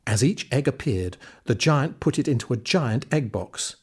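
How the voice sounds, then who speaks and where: A male audiobook narrator reads a children's story. His voice is medium in pitch with a husky texture. He tells it expressively, with lively rises and falls. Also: normal speed.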